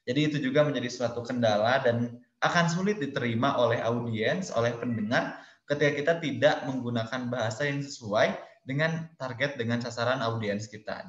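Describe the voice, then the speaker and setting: A man speaking at an ordinary middle pitch walks his listeners through a lesson point by point in an easygoing, friendly way. His voice carries a noticeable room echo. The sound is muffled and lo-fi, typical of an online webinar call. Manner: explains step by step in numbered points; casual, addresses listeners as friends. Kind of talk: webinar lecture. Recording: roomy, dull lo-fi webinar audio.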